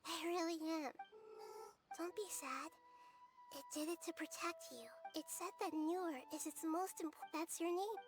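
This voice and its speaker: A very high, childlike voice: a small girl deity from a video game. She is wise, gentle and composed, choosing her words earnestly, with faint music behind. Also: fairly even intonation. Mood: tender. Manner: thoughtful, measured, earnest.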